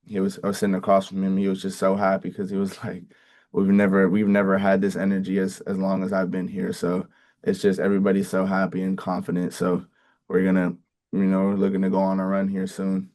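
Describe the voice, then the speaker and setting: A young man, a college football player from the Bay Area, answering interview questions in a casual, halting way with many ums and restarts. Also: deep voice, completely flat delivery, darkish tone. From Bay Area, California. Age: young adult.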